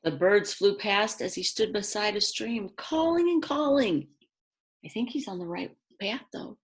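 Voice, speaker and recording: A woman reading a picture book aloud to young children, expressively and simply, with chatty asides to her listeners. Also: mid-range voice. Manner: slow, simple phrasing with dramatic emphasis and chatty asides. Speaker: children's read-aloud storyteller.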